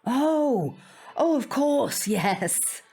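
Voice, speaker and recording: Prim, lively-voiced older woman. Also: high voice, drawn-out words, creaky, breathy edge, exaggerated voice. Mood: hesitant, grateful. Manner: proper, hesitant, genteel. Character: prim older neighbour woman, apologetic, politely flustered. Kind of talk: audio drama acting.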